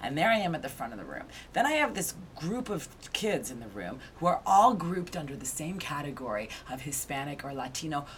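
A woman in her thirties, an author being interviewed, telling her story in a lively way with frequent restarts and "right?" tags. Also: deep voice, brisk, few pauses, slight background noise. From Argentina. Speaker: guest, author and education researcher. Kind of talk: conversational interview.

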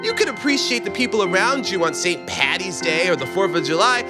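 A man's voice: sits high, big pitch swings, voice pushed up. An earnest, exasperated everyman, played by a man, pleading in a raised, swooping voice. He stammers and stresses his words in a comic audiobook, with music behind. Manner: pleading, halting, stammering, emphatic.

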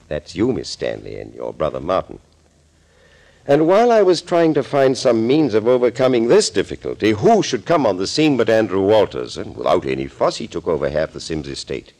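An actor playing a sinister middle-aged doctor, florid and mock-polite, in a 1940s radio thriller. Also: male voice, fairly low pitch, darkish tone. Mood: scheming. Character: sinister middle-aged male doctor, suave, gloating schemer.

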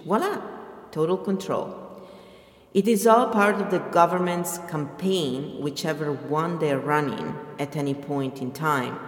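A podcast host reading a book aloud and adding casual commentary, with emphatic stress on key words. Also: medium pitch. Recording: echoey room with long reverb.

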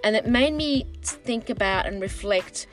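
A woman's voice: fairly high pitch, a little slow, faint music behind. A middle-aged woman, a local councillor whose first language is Sicilian, talking at length in a casual podcast conversation. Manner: animated, talks in long run-on stretches.

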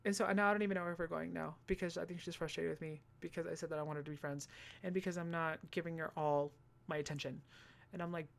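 Sassy high-voiced young man. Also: fast talker, creaky. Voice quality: high-pitched voice. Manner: animated, swears freely, sassy asides. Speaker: vlogger. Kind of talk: casual storytelling monologue.

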